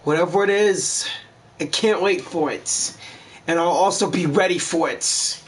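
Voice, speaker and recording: A man's voice: high-pitched, unhurried. A man hosting a video game walkthrough, reading game text aloud and commenting casually. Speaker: gameplay walkthrough host.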